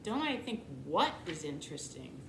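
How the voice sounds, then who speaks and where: A woman's voice: sits low, sing-song, moderate pace, heavily acted. Woman as a sassy, whiny big sister, echoey. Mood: exasperated. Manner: dismissive, exasperated, dramatic. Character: sarcastic, whiny older sister who loves theater. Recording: echoey room, clear background noise.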